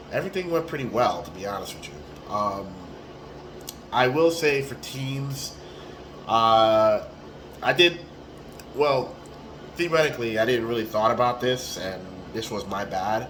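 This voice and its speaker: Hoarse man rambling into his phone. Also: on the low side, noisy background. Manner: relaxed and rambling, with many ums and restarts. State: hoarse, raspy voice. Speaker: tournament organizer. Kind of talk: casual selfie video recap. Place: small room indoors. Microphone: phone at arm's length.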